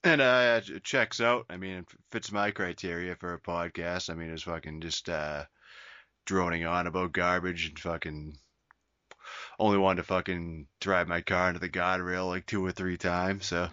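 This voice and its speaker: A very low-voiced man with a bright, forceful edge talks loosely on a casual podcast, swearing almost every other word and trailing off into fillers. The sound is dry and close, a little dull and lo-fi. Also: steady tone, normal speed, smooth, no echo. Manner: swears constantly, rambling with fillers and laughs. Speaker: podcast co-host. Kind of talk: casual crude banter.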